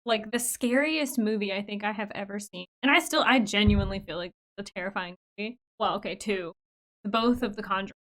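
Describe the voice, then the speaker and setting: An American woman co-hosting a podcast, chatting casually and expressively and quick to laugh. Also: medium pitch, fairly bright tone, low-quality recording. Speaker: podcast co-host. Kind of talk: casual chat.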